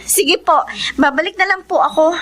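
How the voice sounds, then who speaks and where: A woman's voice: extremely high pitch, moderate pace, fairly bright tone. A woman playing a caring, respectful young granddaughter in a Filipino radio drama, polite and earnest.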